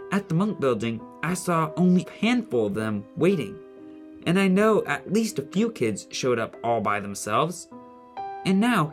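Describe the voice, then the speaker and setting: A performer playing a bright, polite orphan boy who explains things carefully and logically in a family audio drama. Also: mid-range voice, close to an everyday voice.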